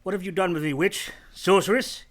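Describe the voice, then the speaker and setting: An actor playing a brusque, teasing, moody gentleman master of the house in a radio play, imperious and halting. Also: high-pitched, fairly even intonation. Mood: startled, gruff. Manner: imperious, abrupt, probing, halting delivery.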